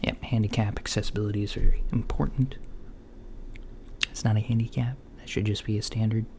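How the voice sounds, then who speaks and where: Guy doing an alien doctor asmr, soft whispery mellow voice, kinda puzzled and deadpan, slow, bit of hiss in the lo-fi recording. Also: lowish voice, natural voice. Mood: mild, hesitant. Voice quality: soft, breathy, often whispered. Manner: hesitant, gently deadpan, murmuring. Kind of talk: soft-spoken ASMR roleplay. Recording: lo-fi home recording, faint background noise.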